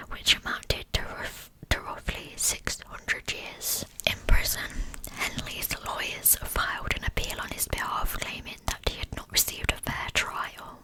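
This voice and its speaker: Whispering woman narrating in ASMR style. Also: low-quality recording. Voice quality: unvoiced breathy whisper. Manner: hushed, measured storytelling. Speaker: ASMR true crime narrator.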